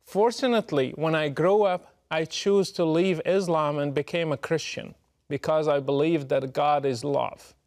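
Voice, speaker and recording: Measured man, slightly high voice. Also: a little mellow. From Morocco. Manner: measured, emphatic, deliberate delivery. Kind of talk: prepared direct address to camera.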